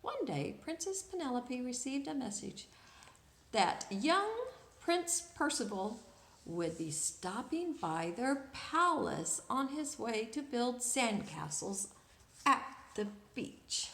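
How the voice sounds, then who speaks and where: A woman's voice: on the high side, very animated, slow pace, vocal fry, a bit bright. A woman reading a picture book aloud to children expressively, drawing out words and putting questions to her listeners. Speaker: storyteller, children's read-aloud host. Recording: echoey room.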